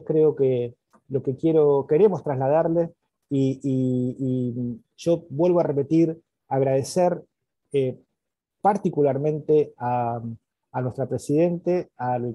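A man's voice: mid-range voice, warm tone, no room sound. A man, a regional council president, giving repetitive, emphatic remarks over a video call. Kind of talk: remarks in online session.

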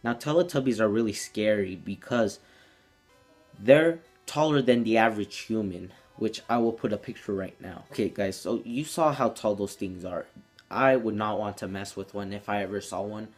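A man's voice: lowish voice, moderate pace, a little mellow, faint music behind. A teenage young man telling a story casually to camera in a small room indoors, as a vlogger.

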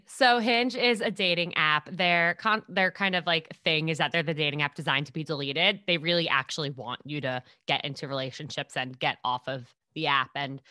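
A woman's voice: low voice, steady tone, normal speed, sharp tone, no room sound, clean studio sound. A woman in her twenties from New York, a podcast guest telling stories in casual chat and acting out what people said. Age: 20s. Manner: bubbly storyteller, acts out quotes.

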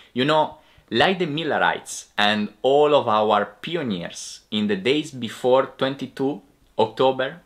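A man in his twenties preaches with an Italian accent. He is a native Italian speaker addressing the camera as an evangelist, at an unhurried pace with an average pitch. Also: fairly bright tone. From Italy. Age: 20s. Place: indoor room with bookshelves.

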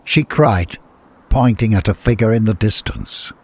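A man's voice: on the low side, animated, a little slow, a little mellow. A man reading a scripted adventure story as an audiobook narrator.

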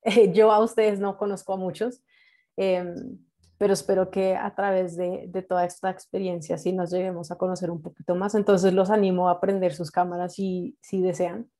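A young woman with a Colombian accent, a native English speaker, teaches an online class informally, encouraging her students in a halting way with frequent check-in questions. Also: medium pitch, a little mellow. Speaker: medical English teacher and nurse. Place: indoors, on a video call. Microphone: video call microphone.

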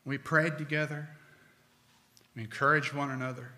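Man, middle-aged, sermon, crisp bright tone, deliberate pauses. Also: mid-range voice, level tone. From United States. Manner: slow, deliberate delivery with emphatic pauses. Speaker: preacher. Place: church sanctuary with stone wall, at a pulpit. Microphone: pulpit microphone. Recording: long hall reverb.

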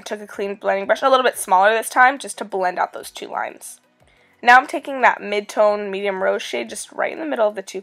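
A young woman in her twenties with a raspy voice from a cold, chatting upbeat through a makeup tutorial as a beauty vlogger. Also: mid-range voice, fairly bright tone.

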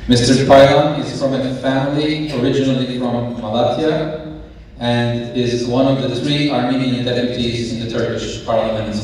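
A man's voice: mid-range voice, steady tone, moderate pace, a little mellow. A man giving a formal, scripted introduction of a guest from a podium. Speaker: event speaker introducing a guest. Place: large banquet hall stage. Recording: very echoey hall, distant, faint noise.

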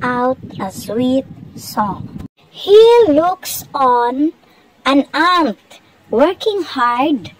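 Woman narrator, high voice, storybook reading, short deliberate phrases, faint noise behind. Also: big pitch swings, rough recording. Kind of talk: slow scripted storybook narration.

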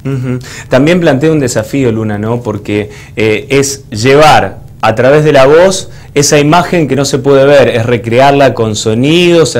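A man's voice: mid-range voice, a little mellow. A man in his thirties with an Argentine accent, interviewing a guest as a television presenter in a studio. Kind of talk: broadcast interviewing.

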